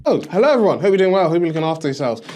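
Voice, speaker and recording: A man's voice: fairly high pitch, sing-song, rapid-fire, music in the background. A man hosting a student podcast, welcoming listeners and asking questions in an upbeat way with frequent "uh" fillers. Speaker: podcast host.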